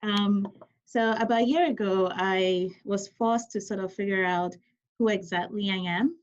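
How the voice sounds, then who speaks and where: A woman's voice: mid-range voice, steady tone, unhurried, slight background noise. A woman, a surgeon giving a conversational lecture over a video call with many ums and apologetic asides. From Ghana. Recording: dull lo-fi video call audio.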